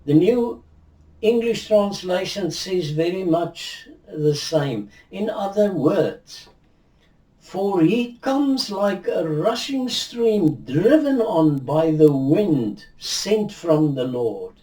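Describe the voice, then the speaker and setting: Slow, mellow older man. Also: high voice, expressive, far from the mic. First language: Afrikaans. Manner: measured, reflective, with frequent restarts and hand gestures. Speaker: Bible teacher. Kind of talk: unhurried video teaching. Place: indoors, in front of a virtual backdrop. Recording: roomy echo, voice heard from afar.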